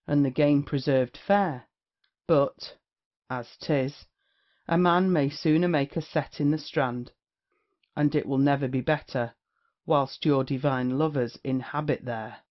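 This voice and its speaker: A man's voice: mid-range voice, close to an everyday voice. Wry courtier acted by a man, dull recording. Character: worldly, wry gentleman of the royal court. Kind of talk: Restoration comedy audio play acting. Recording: dull lo-fi audio.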